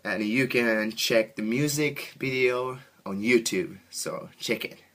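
Slow-talking man with a Japanese accent. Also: mid-range voice, a bit bright. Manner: simple, halting phrasing in a second language. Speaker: interview guest, band musician. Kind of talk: interview answers.

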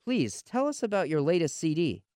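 A man's voice: fairly high pitch, very animated, moderate pace, darkish tone, clear, resonant tone, dry recording, clean studio sound. A man hosting a music radio interview, putting prepared questions in a polite, courteous manner.

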